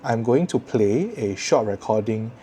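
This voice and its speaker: A man with a Singaporean accent speaks in a low, mellow voice as he presents a tech review. His delivery is measured and articulate. Some background noise is audible behind him. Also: expressive. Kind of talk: scripted video review to viewers.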